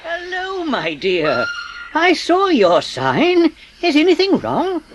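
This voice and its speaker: An actor playing a kindly, courteous old gentleman in a dramatised audiobook, with an avuncular, expressive manner. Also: extremely high pitch, slow, a little hiss or hum, full character voice. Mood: kindly concern.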